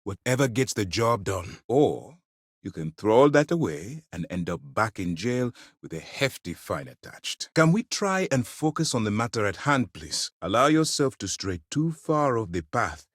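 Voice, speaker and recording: A stern, principled military commander of middle age for a space video game. His delivery is formal and measured with firm authority, yet very animated in pitch. The recording is dry and close, with no room sound. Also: dry recording. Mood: grave, firm. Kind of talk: dramatic video game dialogue.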